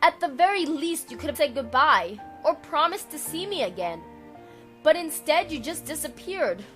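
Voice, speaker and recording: A woman playing a proud young warrior general in an audio drama, commanding and quick to fluster, with music behind her. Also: high voice, very animated, fairly bright tone. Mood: hurt, pleading. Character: proud young female general, warrior hero, hurt sister. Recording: background music under the voice.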